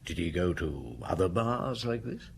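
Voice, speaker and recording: An actor playing a patient, inquisitive police inspector from Paris in a radio play, asking questions with hesitant murmurs. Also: male voice, low-pitched, animated, unhurried, slight background noise. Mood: probing. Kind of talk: radio drama acting.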